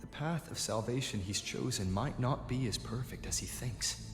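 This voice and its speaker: A man playing an earnest, thoughtful young adventurer in a video game, measured and articulate as he reasons aloud. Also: medium pitch, natural voice. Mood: pondering. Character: earnest, thoughtful young male adventurer piecing clues together. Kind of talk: video game voice acting, story dialogue. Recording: echoey hall reverb, music behind. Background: game music.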